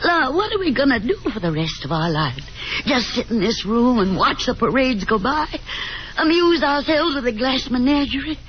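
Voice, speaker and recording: A woman's voice: high voice, big pitch swings, normal speed. An actress playing an anxious, reproachful middle-aged mother in a theatrical 1950s radio drama manner. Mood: reproachful, distressed. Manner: theatrical, scolding. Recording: dull, noisy 1950s radio broadcast.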